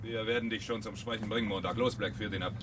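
An actor playing a taunting, theatrical and menacing fire chief in a German radio play from 1970. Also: male voice, lowish voice, fairly even intonation, fast, background noise, natural voice. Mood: cold threat. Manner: mocking, needling, theatrical.

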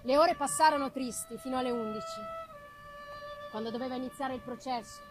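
A woman giving a solemn, deliberate dramatic narration of a gothic novel, with live music behind her. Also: high voice, low-quality recording. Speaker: reader.

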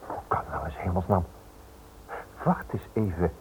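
An actor playing a stubborn, inquisitive husband turned amateur investigator in a radio play, insistent and dismissive. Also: male voice, low voice, moderate pace, darkish tone, husky. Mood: puzzled. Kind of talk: Dutch radio play acting. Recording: muffled, band-limited old radio play recording.

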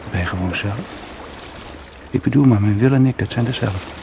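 Stubborn swimmer, low pitch, self-reasoning monologue, wry philosophising, radio drama, loud noisy background. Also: male voice, a little fast, creaky. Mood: self-persuading, musing. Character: stubborn musing swimmer, man talking himself onward at sea. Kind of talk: radio play inner monologue. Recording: very noisy old radio play recording.

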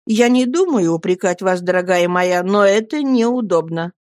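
Woman voicing an earnest, tender young husband, lowered. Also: animated, dry recording, clean studio sound, close to an everyday voice. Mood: grave, reproving. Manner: gentle, measured, gravely affectionate. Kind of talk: dramatised audiobook reading.

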